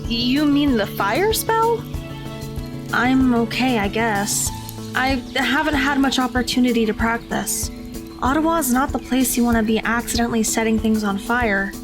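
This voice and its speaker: A woman playing a modest, easygoing high school girl, plain and understated, in an audio drama. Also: expressive, unhurried, music in the background. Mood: casual, calm.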